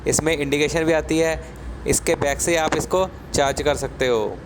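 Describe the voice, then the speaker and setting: Higher-voiced man presenting a tech unboxing. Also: steady tone, moderate pace, noisy background. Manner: explanatory, step-by-step. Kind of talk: casual product unboxing narration.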